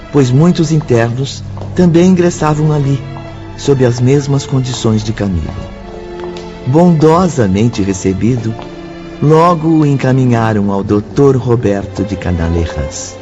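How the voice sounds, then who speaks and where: A man narrating a scripted radio drama in a formal, measured manner. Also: mid-range voice, animated. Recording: dull audio with faint music bed.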